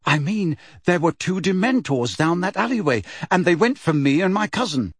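A man's voice: sits high, expressive, normal speed, breathy edge, dry recording, studio quality, pitched above the performer's own voice. A man reading aloud, voicing an impatient, insistent fifteen-year-old boy on trial.

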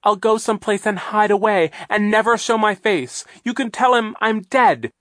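A man's voice: lively intonation, normal speed, no echo, clean studio sound, natural voice. A man doing a frightened old woman. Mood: desperate. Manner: high, pleading, desperate. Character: frightened old woman guardian, fussy about grammar.

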